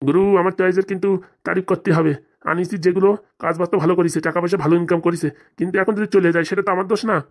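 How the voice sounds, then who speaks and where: Lowish, hurried servile henchman voiced by a woman. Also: fairly even intonation. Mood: defensive. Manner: deferential, excuse-making. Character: servile, defensive male henchman of a crooked boss. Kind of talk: comic cartoon dialogue.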